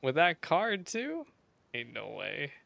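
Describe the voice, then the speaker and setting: A woman's voice: low-pitched, takes it slowly, vocal fry, dry recording. A woman giving casual, slangy, reactive commentary while she plays a game. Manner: informal, animated, slangy. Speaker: gaming reaction streamer.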